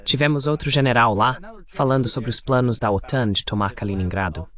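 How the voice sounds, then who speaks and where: A man's voice: mid-range voice, fairly quick, a bit bright. Man giving voiced-over political commentary. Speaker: translated interview guest.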